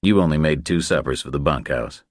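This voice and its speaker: A man's voice: very deep voice, fairly quick, no room sound, studio quality. A man voicing a cool, laconic drifter investigator with a terse, dryly wry delivery in a crime audiobook. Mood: deadpan, wry. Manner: deadpan, terse.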